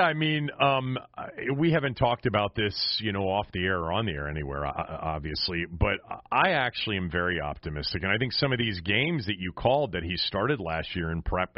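A man's voice: low voice, expressive, a little fast, hardly any pauses, dry recording. A man hosting conversational sports talk, restarting and repeating phrases as he works through a thought, with slightly dull audio. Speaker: sports show host.

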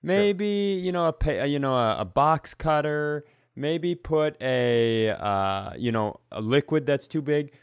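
A man's voice: fairly high pitch, expressive, slow, few pauses, clean tone, no room sound, studio quality. A man chatting casually as a comedy podcast host, sarcastic and given to acting out the people he describes. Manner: sarcastic, acts out quoted characters.

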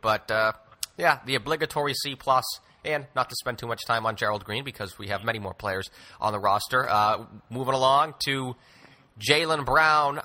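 A man's voice: medium pitch, moderate pace, pushed and bright. A man hosting a sports podcast, talking basketball conversationally with wordy, wry asides.

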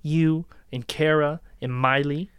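A man acting a vengeful, obsessive young captor in a dark dramatic podcast reading, speaking in an accusing, deliberate way. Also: a little high, fairly even intonation, smooth, no echo, clean studio sound.

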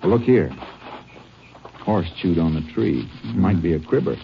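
An American actor playing a calm, methodical Texas Ranger in a 1950s radio western, speaking in measured, terse lines. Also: male voice, low voice, gravelly, full character voice. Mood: focused, assured. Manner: measured, matter-of-fact, terse. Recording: muffled, noisy 1950s radio recording.

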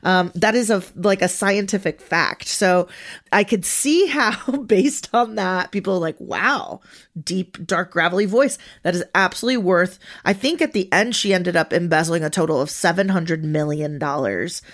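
A woman with a fairly low voice co-hosts a casual podcast. She explains facts in a steady run, one point after another. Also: moderate pace. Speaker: podcast co-host. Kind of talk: casual recap chat.

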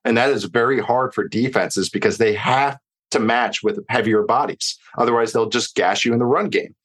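A man's voice: medium pitch, normal speed, fairly bright tone. A man giving casual, enthusiastic football analysis as a podcast guest.

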